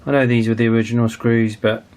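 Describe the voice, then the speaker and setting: A man's voice: mid-range voice, little pitch movement, fast talker, a little mellow. A man in his forties narrating a hands-on repair casually, hesitant and thinking aloud as he goes. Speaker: video host, radio restoration hobbyist.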